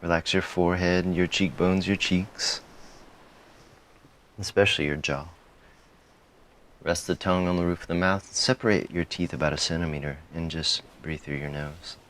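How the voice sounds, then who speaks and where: Very deep-voiced man guiding meditation. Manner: calm, soothing, with frequent pauses between short phrases. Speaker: meditation guide. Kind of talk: guided meditation, softly spoken instruction.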